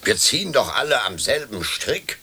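An actor playing a discreet, dutiful butler who reports formally and deferentially in a radio play. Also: male voice, mid-range voice, sing-song, moderate pace, bright, forceful tone, breathy edge, exaggerated voice. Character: discreet, dutiful male butler serving an old lord. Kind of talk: German radio play acting.

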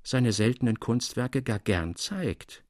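A man voicing an elderly gentleman in a literary tale, speaking in a courteous, formal, old-fashioned manner. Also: mid-range voice, slow pace, no echo, clean studio sound, close to an everyday voice. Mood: confiding, assured. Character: elderly, politely formal gentleman, quietly knowing storyteller.

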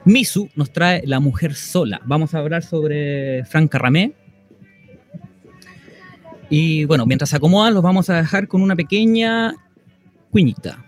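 A man's voice: on the high side, a little slow, pauses often, faint music behind. A man hosting a community radio show, presenting segments and reading aloud. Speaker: radio host.

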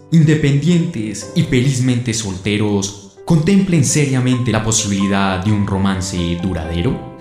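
A man giving dramatic scripted narration for a radio soap opera. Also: on the low side. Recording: roomy echo, faint guitar music behind.

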